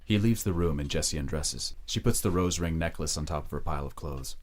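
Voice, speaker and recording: A man giving dramatic scripted narration in a husky voice, measured, hushed and grave. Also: extremely low pitch.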